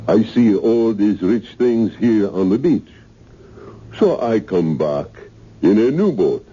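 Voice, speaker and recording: An actor playing a big, genial but sinister island castaway with a Caribbean accent and simple dialect phrasing in a 1970s radio drama. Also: male voice, on the low side, lively intonation, slow pace, warm tone. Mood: slow storytelling. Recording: muffled old radio broadcast.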